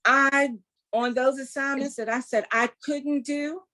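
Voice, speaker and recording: A woman's voice: high voice, fairly even intonation, unhurried, sharp tone. A woman in her sixties, a guest telling her story in an emphatic, faith-filled way. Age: 60s. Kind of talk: casual interview, testimony.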